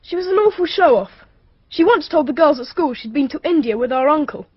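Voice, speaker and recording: A woman's voice: sits high, lively intonation, brisk, dry recording. A woman playing a blunt, offhand schoolboy in a radio mystery drama, dismissive and matter-of-fact. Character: blunt, scornful schoolboy, brother of a dead girl. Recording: muffled radio drama recording.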